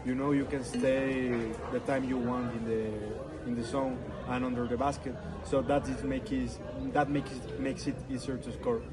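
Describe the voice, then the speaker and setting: A man with a middle-pitched voice giving conversational interview answers. His non-native English comes out hesitantly, over the noise of a busy crowd outdoors. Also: level tone, moderate pace, few pauses, rough recording. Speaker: interview guest.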